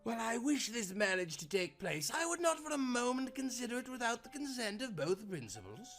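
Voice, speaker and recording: A man's voice: very high-pitched, big pitch swings, fairly quick, a bit bright, soft background music, pitched above the performer's own voice, exaggerated voice. A man voicing a courteous, theatrical devil in a storybook tale, formal and politely menacing. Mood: formal, courtly. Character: suave, mock-courteous devil, doting grandfatherly fiend.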